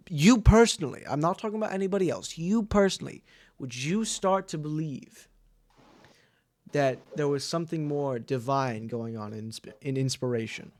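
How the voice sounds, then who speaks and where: A man's voice: fairly high pitch, a little mellow. Rambling male talk show host. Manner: rambling, musing, laughs often. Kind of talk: in-character roleplay talk show.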